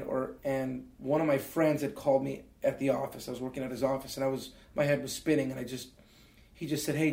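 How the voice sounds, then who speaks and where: A man's voice: medium pitch, gravelly, a little mellow. Canadian guy in his 40s, reflective interview guest, lots of ums, echoey room. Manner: earnest, many fillers and restarts. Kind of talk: reflective storytelling. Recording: roomy echo.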